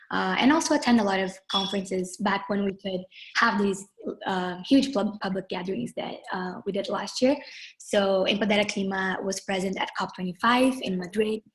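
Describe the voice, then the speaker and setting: A young Brazilian woman, a native Portuguese speaker, introducing a webinar over a video call in a friendly way with many ums. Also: mid-range voice. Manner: friendly, many ums and uhs, slows on key phrases. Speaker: webinar moderator and founder.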